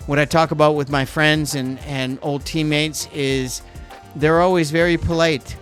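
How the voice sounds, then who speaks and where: A middle-aged Canadian man hosts a podcast in a casual, rambling way, wandering off on tangents. His voice sits a little higher than most men's, and soft music plays faintly behind him. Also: steady tone. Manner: rambling, digressive, quick to go off on tangents. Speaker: podcast host. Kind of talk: casual chat.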